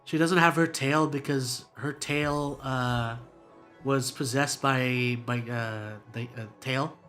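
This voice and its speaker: A man's voice: medium pitch, steady tone, slow pace, a bit bright. A man streaming a game with casual, slangy, rambling commentary to his chat. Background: game music.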